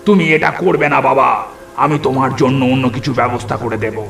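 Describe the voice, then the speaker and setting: A man voicing a protective, anxious ghostly uncle in a horror cartoon, speaking earnestly and deliberately. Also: mid-range voice, fairly even intonation, gravelly. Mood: urgent protest. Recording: echoey voice under loud music.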